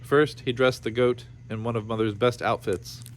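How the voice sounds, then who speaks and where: A man reading a storybook aloud with expressive narration. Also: mid-range voice, moderate pace, a little hiss or hum. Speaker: storybook reader.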